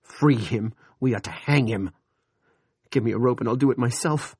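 A man's voice: mid-range voice, normal speed, vocal fry, slightly rough, dry recording, studio quality, pitched above the performer's own voice. A male narrator voicing a distraught big newspaperman who wails, sobs and curses. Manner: wailing, sobbing, cursing. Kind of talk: audiobook character reading.

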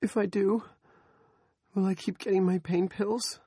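A man voicing a frightened middle-aged woman who pleads in a high, trembling voice. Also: steady tone, unhurried, warm tone, slightly rough, no echo, clean studio sound. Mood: pleading.

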